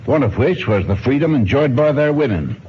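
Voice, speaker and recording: A muffled, slightly noisy 1950s radio recording of a man in his nineties. He speaks at a middling pitch, answering an interviewer formally and precisely, with old-fashioned turns of phrase. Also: expressive, brisk. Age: 90s. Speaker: interview guest, archaeologist and ethnologist.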